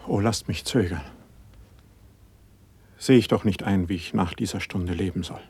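An actor playing a humble, fervent young court poet in a classical radio verse drama, declaiming solemnly with deep feeling. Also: male voice, darkish tone, husky, heavily acted. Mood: humbled, hesitant. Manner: solemn, impassioned, measured declamation.

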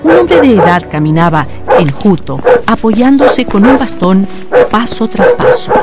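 A woman's voice: mid-range voice, very animated, a little slow, over loud music. A woman reading scripted narration for a radio drama.

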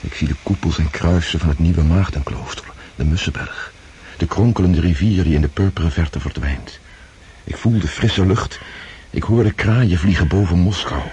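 A man acting a captive Russian nobleman with a very low voice, gentle and earnest. He speaks as a reflective idealist in a radio play, with faint noise on the recording. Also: normal speed, close to an everyday voice. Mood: serene, resolute. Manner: contemplative, earnest.

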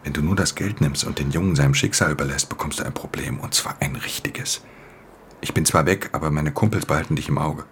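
A man's voice: fairly quick, a bit bright, slight background noise. An actor playing a weary, hard-edged thief in a radio drama, speaking in a terse, subdued way as he narrates and talks. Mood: quietly threatening. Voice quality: very deep, gravelly. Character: weary, hard-edged male thief narrating his own crime story. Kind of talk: radio drama, first-person narration and dialogue.

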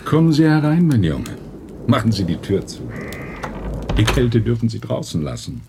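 An actor playing a kindly, authoritative older professor in a radio drama, deliberate and fatherly. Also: mid-range voice, wildly swooping pitch, background noise. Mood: welcoming, inquiring.

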